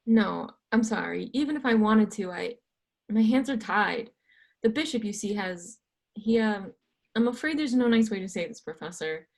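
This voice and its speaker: A woman reading the part of a cautious older male physician and astronomer, hesitant and gently apologetic, in an amateur readers theatre. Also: medium pitch, expressive, moderate pace, gravelly. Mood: apologetic, reluctant.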